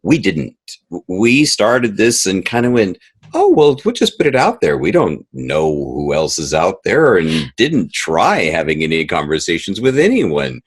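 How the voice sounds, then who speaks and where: A man's voice: low-pitched, wildly swooping pitch, a little slow, husky. A man speaking as a guest composer and sound editor in a conversational podcast interview, animatedly acting out quoted reactions.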